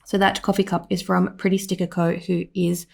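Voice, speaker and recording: A woman with an Australian accent, slightly hoarse, giving a rambling voiceover at home full of "like" and "um". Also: on the low side, level tone, moderate pace. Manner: rambling, with many "like" and "um" fillers. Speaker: planner vlogger. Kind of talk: casual voiceover narration.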